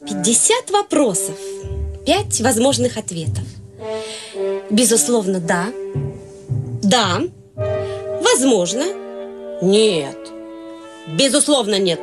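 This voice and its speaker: A woman playing a domineering, fussy society mother in a radio play, condescending and overbearing. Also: medium pitch.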